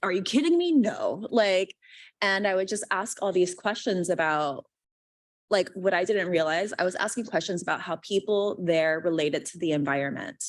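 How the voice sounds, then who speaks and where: A woman's voice: lowish voice, normal speed, dry recording. A woman in her thirties from Guam, telling her story on a panel in a chatty way, often saying "like" and acting out quoted lines. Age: 30s. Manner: chatty, heavy use of "like", acts out quoted lines.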